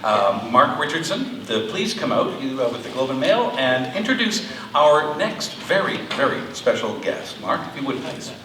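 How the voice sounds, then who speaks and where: A man's voice: fairly high pitch, very animated, moderate pace. A man hosting a live event, introducing guests from a stage. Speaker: event host. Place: large convention hall stage. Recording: echoey hall, distant, noisy background.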